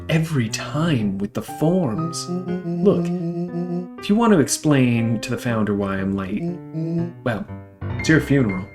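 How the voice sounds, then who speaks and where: A man's voice: mid-range voice, animated, measured pace, pauses often, music in the background, lightly acted. A man voicing a bluffing, impatient delivery worker in a game, brusque and insistent. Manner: brusque, put-upon, insistent. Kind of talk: amateur game character voicing.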